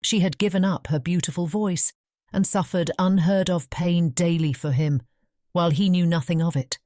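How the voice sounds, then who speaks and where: A British woman narrates a classic storybook. Her voice sits low and is soft-edged and mellow, recorded very dry and close. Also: fairly even intonation, normal speed, no room sound. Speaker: audiobook narrator.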